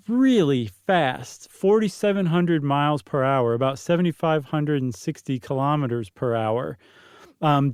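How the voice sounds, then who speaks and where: A man's voice: fairly high pitch, darkish tone, clear, resonant tone, no room sound, clean studio sound. A man co-hosting a podcast, explaining science in a casual, emphatic, conversational way, often rephrasing as he goes. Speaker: podcast co-host.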